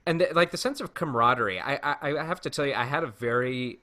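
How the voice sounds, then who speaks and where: A man co-hosting a podcast, telling anecdotes in casual chat and often halting to search for words. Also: medium pitch, bright, forceful tone. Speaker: podcast host.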